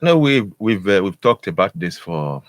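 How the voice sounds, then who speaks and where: A man giving analysis in a radio studio. His voice is very low, and he circles his points with many fillers and restarts before landing them emphatically. The mic is close and dry. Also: sing-song, normal speed, no echo. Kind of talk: discussion on radio.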